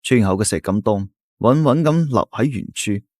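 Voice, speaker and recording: A man's voice: on the low side, lively intonation, normal speed, a little mellow, dry recording, studio quality. A man with a Cantonese accent narrating a folk tale in a dramatic, suspenseful style. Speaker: storyteller. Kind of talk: scripted audio-drama narration.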